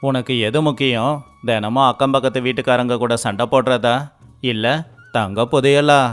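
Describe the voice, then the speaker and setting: Clear-voiced crafty village husband played by a man. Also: medium pitch, fairly even intonation, normal speed, close to an everyday voice. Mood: persuasive. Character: clever, lazy, scheming village husband. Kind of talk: acted moral story for children. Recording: faint music underneath.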